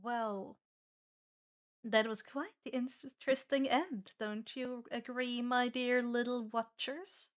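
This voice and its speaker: A woman's voice: fairly high pitch, fairly even intonation, slow pace. A woman playing a sly, theatrical storyteller who addresses the watchers with teasing formality at a tabletop game. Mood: sly, satisfied. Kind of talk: tabletop game outro narration.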